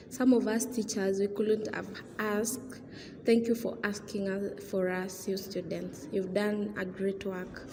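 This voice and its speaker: A woman's voice: medium pitch, mellow, close to an everyday voice. A woman acting as a formal school teacher addressing students in a radio drama. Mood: appreciative, formal. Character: strict but appreciative female school teacher addressing assembled students. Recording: long hall reverb, clear background noise.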